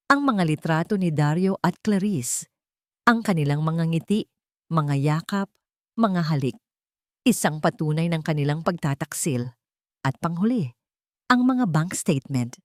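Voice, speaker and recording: A woman narrating a dramatic audiobook story from a script in a deliberate, emotive storytelling manner. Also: lowish voice, dry recording, studio quality.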